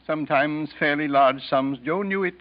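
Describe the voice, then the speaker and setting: An American actor playing a smooth, self-satisfied boss in a 1940s radio drama, speaking in a measured, formal, reassuring way. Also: male voice, fairly high pitch, close to an everyday voice. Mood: composed, formal. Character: smooth, self-satisfied male boss concealing his guilt. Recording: dull old 1940s radio recording.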